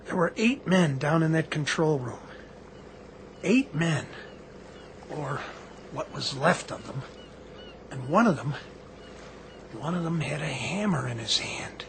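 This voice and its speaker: A young Navy diver in a radio drama, shaken and deferential. His voice is fairly high, hesitant and hushed, with clear noise behind it. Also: lively intonation, slow, long pauses. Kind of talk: dramatic radio theatre acting.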